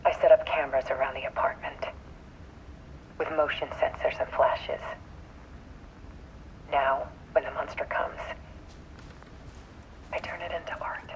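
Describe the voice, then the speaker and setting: Haunted woman artist, low-pitched, grave deliberate confessional, defiant, lo-fi muffled recording. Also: steady tone. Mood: resolute, brooding. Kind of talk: documentary-style confessional monologue. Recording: muffled lo-fi audio, faint noise.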